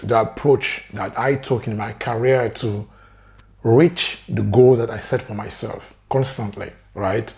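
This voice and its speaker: A man with a French accent whose first language is French, talking earnestly to camera as a career coach and often adding "right?". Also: fairly low pitch. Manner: earnest, keeps asking "right?". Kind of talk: direct-to-camera advice talk.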